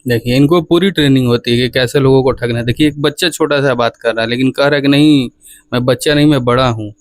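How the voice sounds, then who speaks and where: A man's voice: mid-range voice, quick pace, no room sound. Dry-recorded man presenting commentary. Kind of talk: explanatory commentary to viewers.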